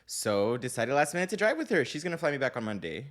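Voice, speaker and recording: A man's voice: mid-range voice, quick pace. A young man co-hosting a podcast, chatting casually and playfully with frequent laughter. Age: young adult. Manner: playful, laughs often, animated. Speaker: podcast co-host. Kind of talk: casual chat.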